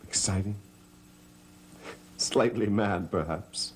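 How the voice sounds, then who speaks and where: An actor playing a suave, romantic estate master in a gothic soap opera, with florid theatrical delivery. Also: male voice, fairly low pitch, slow, a little hiss or hum. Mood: ardent.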